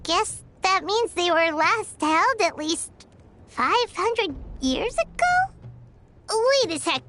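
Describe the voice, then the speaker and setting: A woman voicing a tiny, perky, childlike fairy companion in a video game, chatty, expressive and inquisitive. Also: very high voice, slow pace, a little hiss or hum, exaggerated voice. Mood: puzzled, amazed. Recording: background music under dialogue.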